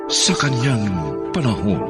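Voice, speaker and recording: A man narrating a radio drama as its announcer, reading poetic script with solemn, deliberate phrasing. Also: medium pitch, sing-song. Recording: music bed behind the voice.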